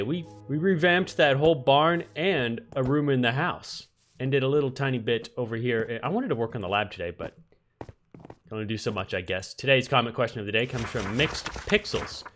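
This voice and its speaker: A man with a mildly nasal, mid-pitched voice talks through his gameplay in a loose, chatty way. He thinks aloud and often restarts his sentences. Soft game music plays faintly underneath. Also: fairly quick. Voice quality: somewhat nasal voice. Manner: chatty, thinks aloud while playing, frequent ums and restarts. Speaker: Minecraft let's-play host. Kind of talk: casual gameplay commentary.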